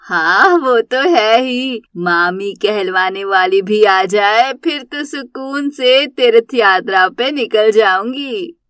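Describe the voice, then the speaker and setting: A woman voicing an affectionate, homely older mother in an animated moral story. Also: medium pitch, slow pace, hardly any pauses, clean tone, dry recording, clean studio sound. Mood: fond, wistful. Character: doting older mother and mother-in-law, tender, homely.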